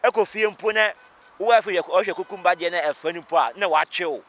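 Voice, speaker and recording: A Ghanaian man speaks in a very high register with a bright, sharp edge. He repeats himself for emphasis and switches between languages, like someone giving opinions on the street. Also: fast. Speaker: member of the public interviewed. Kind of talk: animated street interview in code-switched speech.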